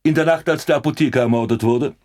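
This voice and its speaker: An actor playing an impatient, sceptical police inspector in a German radio drama, pressing and sarcastic. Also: male voice, medium pitch, fast, a little mellow, natural voice.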